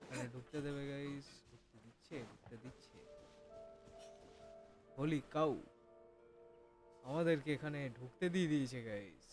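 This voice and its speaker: A man's voice: mid-range voice, very fast, pauses often. A man giving chatty, casual gameplay commentary to his viewers, mixing in English words. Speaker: gaming streamer. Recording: game music behind the voice.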